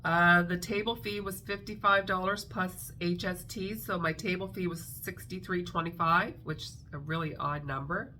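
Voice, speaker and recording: A woman's voice: on the low side, fairly even intonation, normal speed, few pauses, pushed and bright, a little hiss or hum, low-quality recording. A middle-aged woman, a craft vlogger, chatting casually to camera with a congested, nasal voice and a rambling manner full of fillers. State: congested, nasal from a sinus infection.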